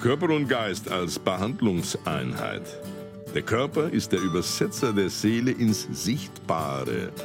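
Deep man's formal clinic promo voice. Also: huge pitch swings, measured pace, creaky, music in the background, heavily acted. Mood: earnest, formal. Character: earnest male clinic promotional video spokesman. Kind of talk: scripted advertising narration.

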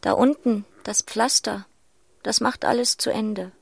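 An actress playing a bleak chorus narrator, speaking hushed, near-whispered fragments in a literary radio drama. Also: female voice, fairly high pitch, a little slow, creaky, breathy edge, no echo, studio quality, exaggerated voice.

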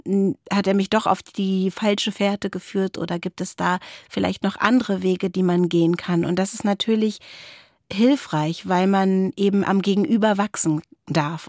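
A woman's voice: mid-range voice, a little mellow, no room sound, studio quality. A woman in a reflective podcast conversation, co-hosting and thoughtfully searching for her words. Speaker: podcast co-host.

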